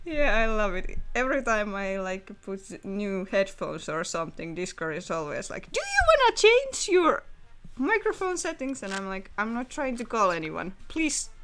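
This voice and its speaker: A woman's voice: mid-range voice, big pitch swings, a little slow, a bit bright, a little hiss or hum. A young woman streaming live and chatting casually, giggling and laughing often as she talks.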